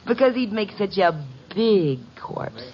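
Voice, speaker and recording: An actress playing a brazen, tipsy gambling-club crook, petulant and defiant, in old radio drama style. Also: female voice, medium pitch, animated, slow. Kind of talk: 1950s radio detective drama acting. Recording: noisy, dull old radio recording.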